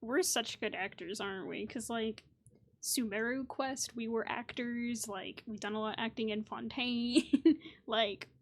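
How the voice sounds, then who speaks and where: A woman's voice: on the high side, steady tone, a little slow, a little hiss or hum. A young woman giving casual, playful commentary as she plays a video game. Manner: chatty, playful. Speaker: gaming content creator.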